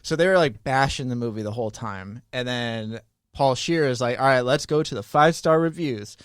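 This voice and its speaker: A man's voice: mid-range voice, normal speed, clean tone, no echo. A man in casual podcast conversation, telling stories in a rambling, conversational way. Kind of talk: casual chat.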